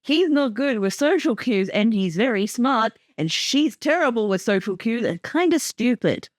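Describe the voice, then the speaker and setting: A woman giving casual, wry co-commentary in a relaxed chat. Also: on the high side, normal speed, no room sound, studio quality.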